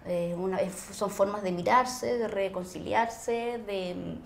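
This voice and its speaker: A Chilean woman speaks at a medium pitch in casual, reflective conversation. She feels her way through phrases with halting pauses. The room is audibly echoey, with faint noise behind her. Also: vocal fry. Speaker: actress guest on a podcast. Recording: roomy echo, faint background noise.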